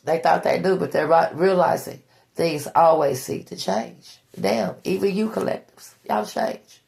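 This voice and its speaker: Blunt, gravelly-voiced woman tarot reader. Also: fairly low pitch, measured pace, a little mellow. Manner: blunt, profane, preachy, repeats herself. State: stuffy nose. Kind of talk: tarot reading monologue.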